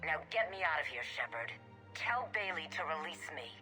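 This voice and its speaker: Low, gloating female crime boss. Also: very animated, normal speed, bright, forceful tone, soft background music, full character voice. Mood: contemptuous, commanding. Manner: menacing, domineering, relishing her power. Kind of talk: video game voice acting.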